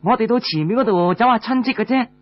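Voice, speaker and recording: A man voicing a bold, defiant young warrior woman in an audiobook, brisk and commanding. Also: extremely high pitch, expressive, dull audio, pitched above the performer's own voice.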